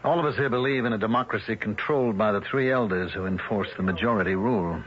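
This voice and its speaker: An actor playing a smug, threatening male town leader in a radio drama, with a condescending, polite menace and a husky voice. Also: lowish voice, fast, close to an everyday voice. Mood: cold threat. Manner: smooth-mannered, condescending, menacing. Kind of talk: 1970s radio drama acting. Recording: muffled old radio broadcast.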